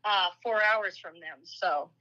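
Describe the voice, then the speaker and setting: Montana lady chatting on a stream, bright tense voice, laughs easy. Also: medium pitch, takes it slowly, dull audio. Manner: laughs often, easygoing. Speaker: company boss, guest on stream. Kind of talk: casual livestream chat.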